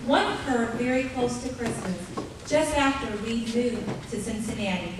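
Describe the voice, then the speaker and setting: Storytelling narrator looking back woman actor, slightly high voice, live radio play, far off in echoing hall. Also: expressive, normal speed, lightly acted. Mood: reflective. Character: reminiscing grown woman narrating childhood. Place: live stage in arts center. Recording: echoey hall, far mic, loud noise.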